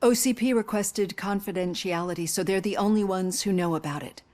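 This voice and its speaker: A woman as earnest middle-aged psychologist, mid-pitched. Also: fairly quick, darkish tone, natural voice. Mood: earnest, supportive. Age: 50s. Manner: earnest, careful, supportive. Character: earnest female psychologist in her fifties, gently probing. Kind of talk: video game voice acting.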